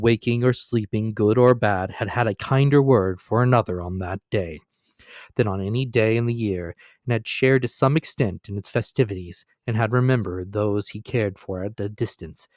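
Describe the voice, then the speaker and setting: A man's voice: lowish voice, moderate pace, darkish tone, no room sound, clean studio sound. Man giving a theatrical storybook reading. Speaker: narrator. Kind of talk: dramatic reading of a classic novel.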